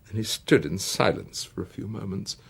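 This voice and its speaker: A British man giving a theatrical scripted biographical narration, dramatic and emphatic, with weighted pauses. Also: deep voice, gravelly, slightly rough. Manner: dramatic, dwelling on words with long emphatic pauses and swells.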